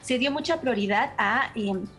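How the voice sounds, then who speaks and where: Guest expert, woman, higher voice, careful explainer over video call, faint music underneath. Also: a bit bright. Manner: measured, explanatory, frequent fillers and restarts. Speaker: education researcher, guest. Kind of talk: live news interview by video call.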